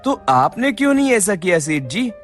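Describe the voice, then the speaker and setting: A cheeky young shop hand in a cartoon moral tale, acted by a man. His voice sits high and he is chatty, with faint music underneath. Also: big pitch swings, moderate pace, fairly bright tone. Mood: curious, cheeky. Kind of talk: Hindi moral-story cartoon acting.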